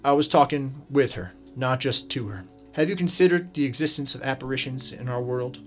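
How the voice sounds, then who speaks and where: A man playing an earnest, modest, principled detective and mentor in a radio drama, measured and serious. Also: mid-range voice, soft background music, close to an everyday voice. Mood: serious, confiding.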